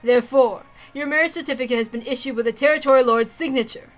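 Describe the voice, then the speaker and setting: A woman's voice: sits high, quick pace, faint music behind, close to an everyday voice. A woman voicing a grand ceremonial lord presiding over a wedding, formal and proclaiming, in a story reading. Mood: solemn, proud.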